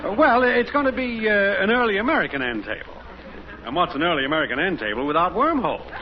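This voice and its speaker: An actor playing a boastful, cheerful middle-aged neighbor in a 1950s radio sitcom. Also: male voice, high-pitched, sing-song, quick pace. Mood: flustered. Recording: muffled, noisy old radio recording.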